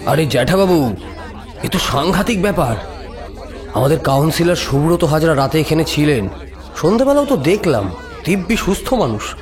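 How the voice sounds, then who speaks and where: Man as an animated, gossipy younger local. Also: on the high side, moderate pace, a faint crowd. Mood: shaken gossip. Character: shaken, gossipy younger male neighbourhood local. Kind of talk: horror audio drama.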